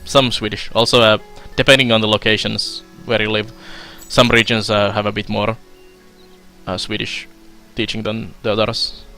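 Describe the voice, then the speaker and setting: A young Finnish-accented man talks through a strategy game on a live stream, relaxed and chatty with plenty of fillers. His voice sits low yet sounds bright and forceful. Quiet music plays underneath. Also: normal speed. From Finland. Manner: relaxed and chatty, many fillers, laughs often. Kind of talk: let's play commentary while reading chat.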